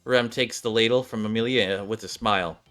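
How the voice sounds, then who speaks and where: Low-key man reading game story aloud. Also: fairly even intonation, moderate pace, fairly bright tone, soft background music. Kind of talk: casual playthrough commentary and script read-aloud.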